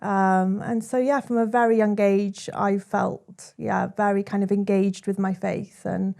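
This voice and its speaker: Young Welsh woman, chatty storyteller, mid-pitched, conversational guest. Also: level tone, a little slow, vocal fry, a little mellow. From South Wales. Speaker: guest, content creator.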